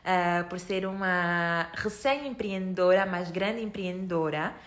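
A woman's voice: fairly low pitch, a little slow, a bit bright. A woman with a European Portuguese accent hosting a casual podcast interview, enthusiastic and full of fillers. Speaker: podcast host. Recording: echoey room.

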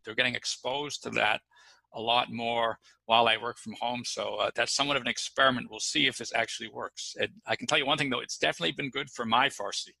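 A man's voice: mid-range voice, fairly even intonation, fast talker, gravelly, sharp tone, dry recording, poor audio. A middle-aged man answering interview questions casually, with many fillers and restarts. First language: English. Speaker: podcast guest.

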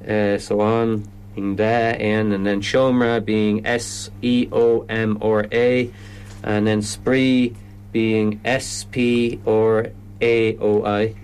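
A man's voice: lowish voice, level tone, slow, a little mellow, smooth. An Irish man explaining his comedy event in a radio interview, often stopping and restarting sentences. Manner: hesitant, lots of restarts.

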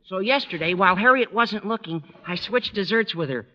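An actor playing a lovesick, overwrought teenage high school boy with a plaintive delivery in a vintage radio sitcom. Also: animated, a little fast, bright, forceful tone, heavily acted. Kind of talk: 1940s-50s radio sitcom acting. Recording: muffled, hissy old radio, studio audience.

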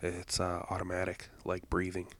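Stuffed-up, flat, deep-voiced man. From Canada. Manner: halting, heavy on fillers, corrects himself. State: congested, stuffed-up nose. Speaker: podcast host. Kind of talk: rambling solo intro.

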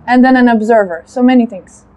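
A woman's voice: on the high side, a little fast, highs cut off, a crowd in the background. A woman who works in urban planning, answering interview questions in an articulate, structured way. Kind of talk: casual street interview. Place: outdoor fair in a park.

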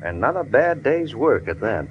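An actor playing a cool, sardonic hard-boiled American detective in 1940s radio style. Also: male voice, mid-range voice, very animated, moderate pace, dull audio, faint music behind, close to an everyday voice. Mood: wry. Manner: sardonic, laconic, teasing. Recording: noisy old radio drama recording.

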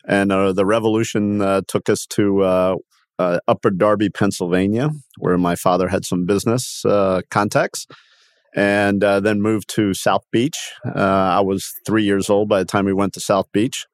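A man in his sixties, born in Cuba and raised in Miami, speaks in a fairly low voice. He tells stories conversationally as a podcast guest, often stopping to restart or correct himself. The sound is close and dry. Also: little pitch movement, normal speed, no echo. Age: 60s. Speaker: podcast guest, company founder. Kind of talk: conversational interview storytelling.

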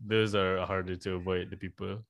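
A man's voice: low-pitched, level tone, moderate pace, vocal fry, a bit bright. A man from Malaysia, a stand-up comedian co-hosting and telling stories with dry asides. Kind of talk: casual chat.

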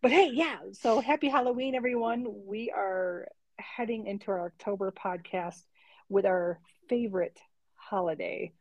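A woman's voice: medium pitch, moderate pace, no room sound, dull audio. A woman co-hosting a podcast in casual chat, chatty and laughing often. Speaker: podcast co-host.

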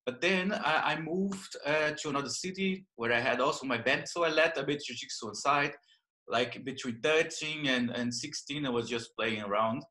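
Man, Brazilian accent, bright tense tone, halting with fillers, interview guest. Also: medium pitch. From northern Brazil. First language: Portuguese. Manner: halting, many fillers and restarts. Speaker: guest, jiu-jitsu and yoga teacher. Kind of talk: casual interview.

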